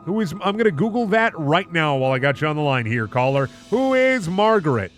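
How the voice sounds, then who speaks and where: A man's voice: on the high side, sing-song, fairly quick, a bit bright, faint music behind. A man hosting a comedic reading of bad fan fiction, narrating with incredulous, sardonic asides. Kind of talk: comedic narration with sarcastic commentary.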